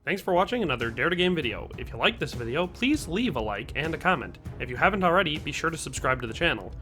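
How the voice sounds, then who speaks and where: A man's voice: a little high, sing-song, fast talker, bright, forceful tone, faint music behind. A man hosting a video channel, reading a scripted outro to viewers. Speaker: channel host.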